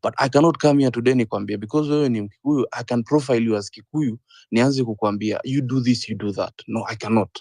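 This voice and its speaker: A man in his thirties with a Kenyan accent, speaking earnestly in a panel discussion, often pausing on "uh" and repeating his points. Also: medium pitch, darkish tone, no echo. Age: 30s. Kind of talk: impassioned discussion, mixing English and Swahili.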